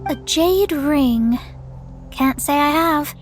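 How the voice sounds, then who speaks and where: A woman's voice: sits high, slow pace. A woman playing a proud, formal young astrologer with theatrical delivery in a video game. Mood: composed. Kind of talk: video game character acting. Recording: game dialogue with music behind.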